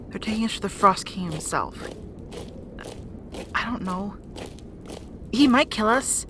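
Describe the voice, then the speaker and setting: A woman's voice: medium pitch, a bit bright, slight background noise. A woman playing a young rat princess warrior in an audio drama, earnest and defiant.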